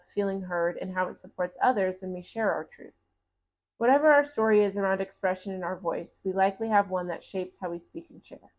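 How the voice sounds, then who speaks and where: A woman talks through a tarot reading in a casual, encouraging way, reassuring the listener as she goes. Her voice has a slight raspiness from talking a long time. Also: medium pitch, low-quality recording. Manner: gentle, encouraging, reads card text aloud. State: voice getting raspy. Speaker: tarot reader.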